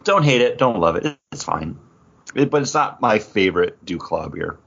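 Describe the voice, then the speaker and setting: A man's voice: fairly low pitch, big pitch swings, moderate pace, creaky, a bit bright. A man talking casually as a podcast host, in dull lo-fi audio. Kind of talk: casual chat.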